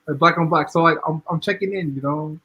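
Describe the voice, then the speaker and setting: A man's voice: on the high side, fast talker, pushed and bright, breathy edge, muffled recording. A man from Ghana co-hosting a podcast, telling a story in a chatty way with constant checks on the listener. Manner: chatty, repeats "you know what I'm saying". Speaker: podcast co-host. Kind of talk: casual storytelling.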